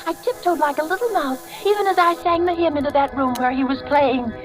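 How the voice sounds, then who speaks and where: Woman playing a high-pitched deranged, gloating murderess. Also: a little fast, natural voice. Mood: sly, gloating. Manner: theatrical, gloating, breaking into singsong triumph. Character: triumphant, deranged murderous woman patient, gleeful and cunning. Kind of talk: 1940s radio horror acting. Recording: old radio recording with music behind.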